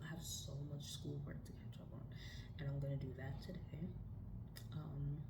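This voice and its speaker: A woman in her late thirties from New York, chatting casually in a vlog from her dorm room, rambling and repeating herself, slipping into soft whispery asides. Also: low-pitched, reverberant, recorded from a distance, heavy background noise. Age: 30s. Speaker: vlogger.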